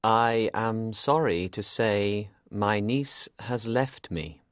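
Man playing a slow, sly gentleman villain. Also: fairly low pitch, no echo, clean studio sound. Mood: sad, quiet. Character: smooth-talking, polite, sly gentleman villain. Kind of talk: audiobook character voice.

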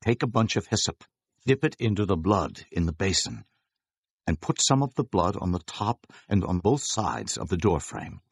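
A man playing a stern prophet and leader, declaiming urgent instructions with a gravelly, husky voice in a dramatized scripture recording. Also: low voice, big pitch swings, moderate pace, dry recording, full character voice. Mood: urgent, solemn. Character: Moses, stern male prophet and leader of his people.

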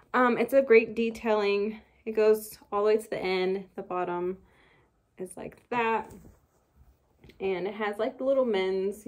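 A young woman in her twenties filming a casual shopping haul in her cluttered room at home, chatting loosely with lots of fillers. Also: medium pitch. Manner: chatty and rambling, many fillers. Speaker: vlogger. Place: bedroom beauty room at home, indoors. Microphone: camera on desk.

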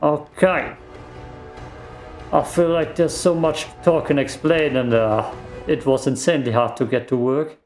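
A man's voice: on the high side, steady tone, measured pace, a little mellow, faint music behind. A man whose first language is German, casually commenting while playing a game, rambling and reacting aloud with self-deprecating remarks, recorded on a desk microphone at a home gaming setup. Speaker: let's play gamer.

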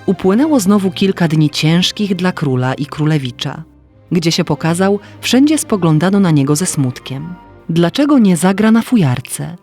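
A man's voice: high voice, animated, moderate pace, a little mellow, soft background music. A man narrating a scripted fairy tale for children as a storyteller.

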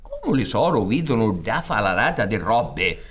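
A man's voice: lowish voice, very animated, unhurried, a bit bright. A man in his sixties with a Sicilian accent, telling a folk tale theatrically and expressively, with repetitions for emphasis. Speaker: storyteller narrator. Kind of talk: theatrical oral storytelling of folk tales. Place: theatre stage set up for radio recording. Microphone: large studio condenser on stand.

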